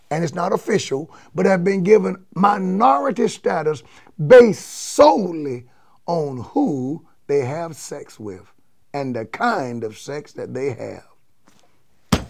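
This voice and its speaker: An older man, a bishop, with a raspy voice and a touch of a cold, emphatically inviting listeners to a church service from his study. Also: high voice. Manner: emphatic, animated hand gestures. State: under the weather. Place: home office study with bookshelves. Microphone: lapel mic, seated at desk.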